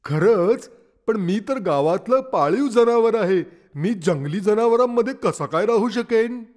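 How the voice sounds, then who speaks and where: A man's voice: high-pitched, lively intonation, unhurried, darkish tone. A man voicing a naive, overworked village donkey in a children's animal story, with a plaintive, simple manner. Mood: hopeful, unsure.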